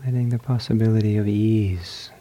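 A man leading a guided loving-kindness meditation, speaking softly and trailing off into whispers. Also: fairly low pitch, fairly even intonation. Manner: slow, soft, trailing into whispers. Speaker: meditation teacher.

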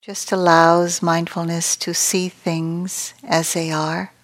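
A woman meditation teacher speaks softly in a slightly low register. Her delivery is very slow and nearly flat in pitch, with long pauses. Phrases often trail off into a gentle, breathy softness as she guides the practice. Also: rough recording.

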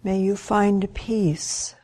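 A woman leading a guided meditation as a teacher, gentle and unhurried, leaving long pauses between short phrases. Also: medium pitch, little pitch movement.

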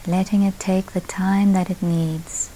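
Very slow, soft-toned woman guiding meditation. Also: medium pitch, rough recording. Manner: calm, unhurried short phrases with long pauses. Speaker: meditation teacher. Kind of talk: guided meditation at a retreat. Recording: steady background hiss.

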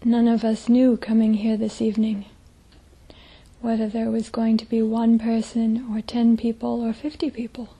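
A woman leading a guided meditation as a teacher, speaking gently in a soft, breathy, hushed voice with long pauses. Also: a little high, monotone, poor audio.

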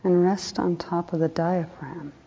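A woman meditation teacher leading a guided meditation in a soft, calm voice, unhurried, with pauses between short phrases. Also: lowish voice, slightly rough. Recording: dull, muffled lo-fi recording.

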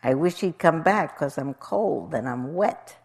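A woman voicing a gentle, dutiful big male elephant from a storybook, speaking in an earnest, measured way. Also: deep voice, expressive, slightly rough, heavily acted. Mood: miserable.

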